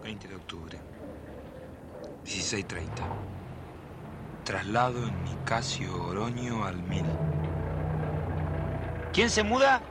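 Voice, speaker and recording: A man's voice: lowish voice, big pitch swings, slow pace, pauses often, very noisy. An actor playing an anxious, inquisitive man in a radio drama. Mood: muttering. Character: Lorenzo, anxious inquisitive man who jots in a notebook.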